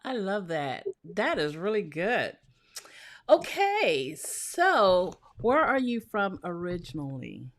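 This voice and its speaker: Woman, podcast host, mid-pitched, friendly, asks questions. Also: big pitch swings, slow pace. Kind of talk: casual interview hosting.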